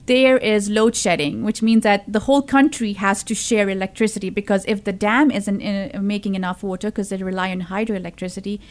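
A woman's voice: medium pitch, steady tone, fairly quick, few pauses. A woman in her thirties from Zambia, a podcast guest telling her story in casual talk, earnest, with many fillers and restarts. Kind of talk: casual conversation.

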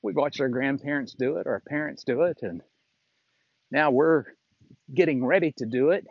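A man in his sixties giving an unscripted, reflective talk as a fitness instructor while walking outdoors, in a halting, folksy way with frequent pauses. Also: mid-range voice, creaky, no echo, muffled recording. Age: 60s. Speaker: fitness instructor and podcast host.

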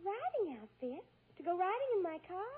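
Coquettish rich teen girl: extremely high, swooping, breezy; tinny old radio comedy. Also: sing-song, normal speed, crowd noise. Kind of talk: 1940s radio sitcom acting. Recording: tinny, faintly noisy 1940s radio broadcast.

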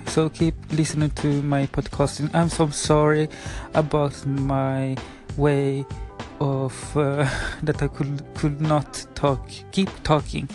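A man's voice: on the high side, a little mellow. A man in his twenties from Sweden, with a Swedish accent in English and Spanish as his first language, hosting a casual solo podcast, hesitant with many fillers and apologies. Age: 20s. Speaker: podcast host.

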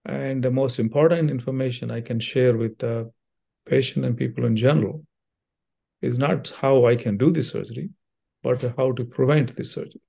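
Older Indian-accented man, gravelly and mellow. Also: medium pitch, steady tone. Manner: measured, with frequent uh fillers and restarts. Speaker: cardiothoracic surgeon, guest. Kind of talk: interview answers, explanatory.

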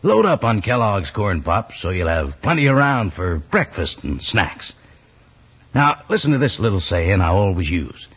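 An actor playing a folksy, chummy cowboy pitchman with a husky voice in a 1950s radio advertisement. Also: sing-song, normal speed, fairly bright tone, exaggerated voice. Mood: cheerful, selling. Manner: folksy, emphatic, hard-selling. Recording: dull old radio recording.

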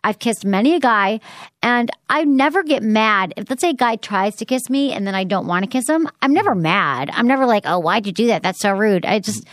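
A woman's voice: mid-range voice, animated, a little fast, no room sound. A woman hosting an advice podcast, chatting casually and coaching listeners in an upbeat way. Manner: upbeat, coaching, reads listener letters aloud.

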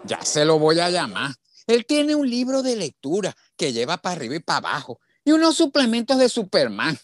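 An actor playing a burly, kindly older boss in a radio drama, frank and colloquial. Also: male voice, sits high, huge pitch swings, brisk, a little hiss or hum. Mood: kindly, earnest. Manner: colloquial, folksy.

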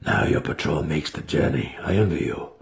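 A man voicing a scheming, insinuating dark elf wizard in a fantasy audiobook, hushed and breathy, musing and conspiratorial. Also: rumbling low, moderate pace, voice pushed down. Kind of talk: dramatic fantasy audiobook character reading.

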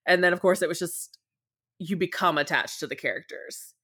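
Animated young woman host, slightly low voice. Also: normal speed, husky. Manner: animated storyteller, self-deprecating. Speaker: podcast host. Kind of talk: casual chat over a call.

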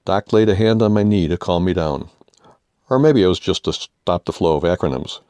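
A man's voice: low voice, moderate pace, darkish tone, clear, resonant tone, dry recording. An older man with an American accent reading his own humorous first-person essay aloud. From United States.

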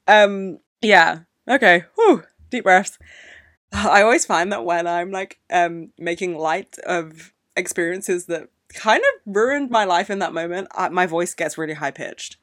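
Young woman, solo podcast host, unscripted emotional rant, heavy swearing, mid-pitched voice, dry close mic. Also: no room sound. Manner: emotional, swears a lot, ranting. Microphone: podcast microphone.

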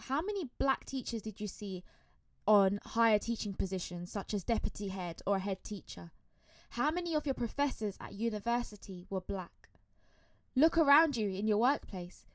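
A woman's voice: a little high, dry recording, studio quality. A woman hosting a podcast, reading a scripted introduction in an earnest way full of rhetorical questions. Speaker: podcast host.

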